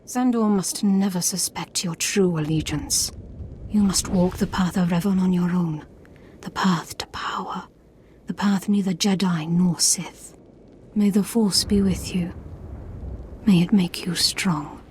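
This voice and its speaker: Mellow female voice, actress as secretive sci-fi cult leader, solemn, measured, commanding, game dialogue, ambient noise behind. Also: on the low side, natural voice. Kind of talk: video game voice acting.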